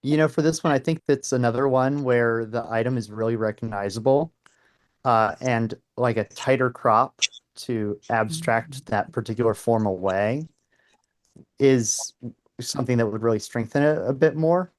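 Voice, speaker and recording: A man's voice: medium pitch, normal speed. A man with an everyday mid-range voice gives casual critique at a camera club. He offers constructive, thought-out suggestions about cropping and color.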